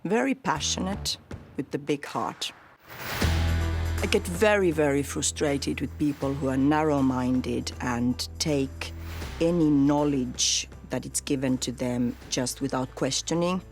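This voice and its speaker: A woman from Finland, a medical doctor speaking expressively on a reality dinner show, sometimes animated and sometimes soft. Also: a bass voice, a little slow, over music.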